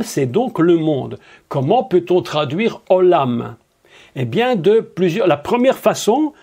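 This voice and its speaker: A man's voice: high voice, a little mellow, husky. A man preaching a sermon, emphatic and drawn out, with rhetorical questions to his listeners.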